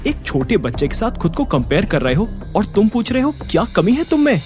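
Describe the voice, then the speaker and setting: Bold father, higher-pitched man. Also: big pitch swings, a little fast, over music. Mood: scolding. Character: bold, protective father, blunt and determined. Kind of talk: Hindi audio drama acting.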